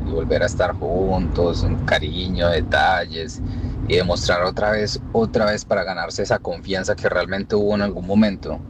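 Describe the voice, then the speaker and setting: A man calling in to a radio show, earnest and reflective, speaking haltingly with many pauses. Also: low-pitched. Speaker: radio caller. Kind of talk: personal confession on air. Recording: noisy line with loud traffic.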